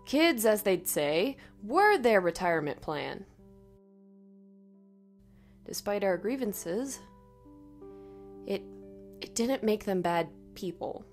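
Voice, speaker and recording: A woman narrating a scripted first-person horror story in a dramatic, expressive way. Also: medium pitch, slow pace, pauses often, faint music behind.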